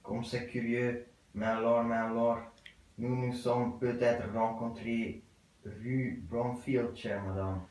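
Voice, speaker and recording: A man playing a stiff, courteous English gentleman. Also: medium pitch, little pitch movement, slow pace, close to an everyday voice. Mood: ceremoniously curious. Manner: formal, ceremonious, repetitive. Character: stiff polite English gentleman, middle-aged, curious. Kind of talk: theatre acting of an absurd play. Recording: very echoey room, voice from far off.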